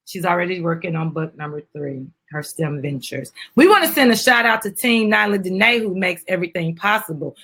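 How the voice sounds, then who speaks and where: A woman's voice: fairly low pitch, lively intonation, brisk, hardly any pauses, fairly bright tone, slightly rough. A woman chatting casually to viewers as she hosts a live stream and promotes a children's book. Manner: chatty, addresses viewers as guys.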